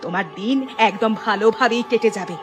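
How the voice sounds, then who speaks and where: A woman's voice: mid-range voice, fairly quick, over music, close to an everyday voice. A woman playing an aggrieved village housewife in a cartoon drama, scolding emphatically and repeating words for stress. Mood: angry, hurt. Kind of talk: dramatic Bengali cartoon dialogue dubbing.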